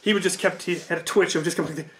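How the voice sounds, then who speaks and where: Higher-pitched man telling a casual story. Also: steady tone, fast, a bit bright, slight background noise. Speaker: host. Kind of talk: casual storytelling of a tabletop game campaign.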